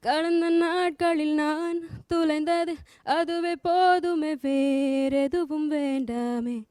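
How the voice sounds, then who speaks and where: A woman's voice: sits high, fairly even intonation, takes it slowly, a little mellow, dry recording, clean studio sound, faint music behind. A woman contestant singing film songs at an audition.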